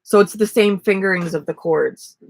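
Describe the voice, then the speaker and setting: A woman in her forties with a raspy voice, chatting casually and rambling on a live stream from a small camper. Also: mid-range voice, normal speed, dry recording. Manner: relaxed, rambling, self-deprecating. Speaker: vlogger and ukulele player. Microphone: webcam at desk.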